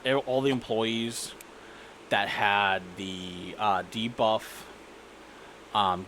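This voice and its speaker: A man's voice: lowish voice, bright, forceful tone, slight background noise. A man giving casual, rambling commentary and stories while playing a game, with an irreverent, sweary manner. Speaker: gaming video creator narrating gameplay.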